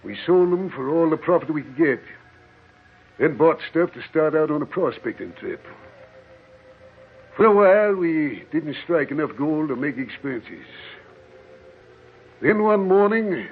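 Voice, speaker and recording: An actor playing a rough, solitary desert prospector in an old-time radio horror drama, with folksy Western slang and a storyteller's manner. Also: male voice, a little high, sing-song, measured pace, pauses often, heavily acted. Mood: storytelling. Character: rough desert prospector living alone, telling grim stories. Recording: tinny, noisy old radio recording.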